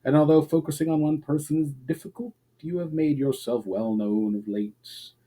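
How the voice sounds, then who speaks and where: Guy doing an ancient male elf from a fantasy storybook, soft mellow voice, formal and courteous, kinda weary. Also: on the high side, pitched above the performer's own voice, natural voice. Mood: calm, courteous. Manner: formal, measured, archaic phrasing. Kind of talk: dramatic storybook reading.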